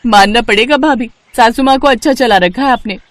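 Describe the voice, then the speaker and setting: A woman's voice: a little high, fairly quick, no echo, natural voice. A woman voicing a young new bride in a moral story cartoon, a mischievous food-loving younger sister-in-law. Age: young adult.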